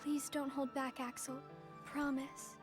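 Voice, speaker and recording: A gentle, resigned teenage girl, high in pitch and very even in delivery. She speaks slowly and solemnly, as in a sad game cutscene, with loud music behind her. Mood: solemn resolve. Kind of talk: dramatic video game voice acting.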